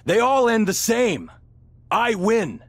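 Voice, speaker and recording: An actor playing an arrogant, scornful champion wrestler in a video game, with a husky voice and a cocky, sneering delivery. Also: male voice, high-pitched, very animated, slow pace, dry recording, heavily acted. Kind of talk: video game cutscene acting.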